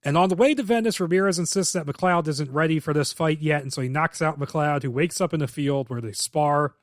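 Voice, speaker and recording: A man's voice: fairly high pitch, brisk, no echo. Dry-sounding man recapping a script. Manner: recaps plot in detail, opinionated, casual swearing. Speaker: podcast co-host. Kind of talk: casual podcast discussion, summarizing a script.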